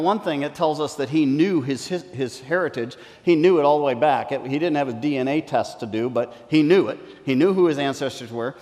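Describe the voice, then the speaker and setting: A man preaching a sermon, explaining scripture with emphatic stresses that fall to quiet, intense phrases. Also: fairly high pitch. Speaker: pastor. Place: church hall. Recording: long reverb of a church hall.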